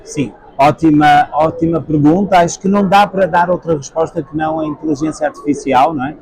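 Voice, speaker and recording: Interview guest, a man in his 40s from Portugal with a European Portuguese accent, mellow voice, faint hall ambience behind. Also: on the high side, steady tone, slight background noise. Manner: measured, frequent hesitations. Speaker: guest, edtech sales executive. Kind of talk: trade-fair interview answers. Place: busy expo hall.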